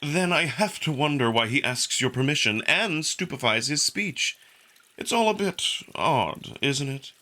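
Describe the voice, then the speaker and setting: A man's voice: fairly high pitch, expressive, a little slow, sharp tone, pitched above the performer's own voice. A man voicing a suave, smugly curious male jackal alien in a visual novel, with polite, needling, unruffled delivery. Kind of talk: visual novel character acting.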